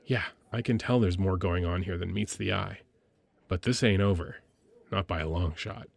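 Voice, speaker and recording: A man playing a caring, playfully gruff military medic lieutenant in an intimate audio roleplay, with an informal, hesitant, teasing delivery. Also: low-pitched, normal speed, no echo. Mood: frustrated, teasing.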